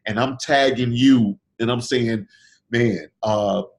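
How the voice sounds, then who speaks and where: A man hosting a group video call for speakers, pitching his event persuasively, repeating and restarting phrases while he recovers from illness and is losing his voice. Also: slow.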